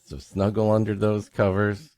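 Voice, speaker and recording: A man's voice: on the low side, monotone, normal speed, a little mellow, no room sound. A man hosting a podcast with comedic banter and story introductions, playfully mock-dramatic in his delivery. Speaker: podcast host.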